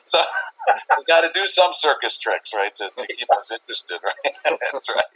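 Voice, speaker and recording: A man's voice: mid-range voice, big pitch swings, moderate pace, bright, forceful tone, slightly rough, rough recording. A man talking casually in an interview, rambling and circling back over his phrases as he explains. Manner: rambling, repeats phrases, often says "you know". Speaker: interview guest, paranormal investigator.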